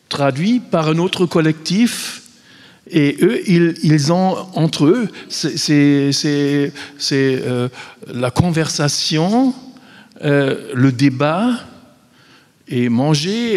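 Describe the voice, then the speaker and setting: Slow, word-searching man in a reverberant hall. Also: mid-range voice. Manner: searching for words, heavy repetition, slow. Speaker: panelist, poetry project organizer. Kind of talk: round-table discussion.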